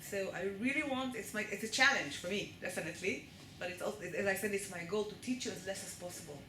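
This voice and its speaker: A woman's voice: medium pitch, fast talker, bright, forceful tone, a little hiss or hum. Israeli woman lecturing in an echoey room. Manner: checks in with the audience often. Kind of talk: live lecture to an audience. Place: lecture hall with live audience.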